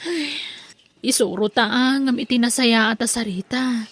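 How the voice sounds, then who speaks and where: Woman acting an apologetic high-voiced mother. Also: moderate pace, natural voice. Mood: apologetic, pleading. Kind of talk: Ilocano comedy radio drama acting.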